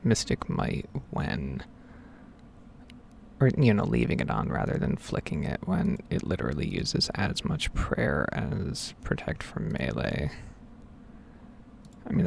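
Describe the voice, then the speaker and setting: A man giving hesitant, rambling game commentary in a soft-spoken, whispered ASMR style. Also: a bass voice, vocal fry, a little hiss or hum. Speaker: gaming video host.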